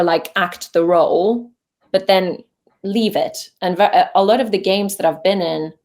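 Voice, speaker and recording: Norwegian-accented woman, low and chatty. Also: a little mellow. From Norway. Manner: chatty, with many fillers and restarts. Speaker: voice actor guest. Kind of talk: casual interview chat. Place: home voice booth.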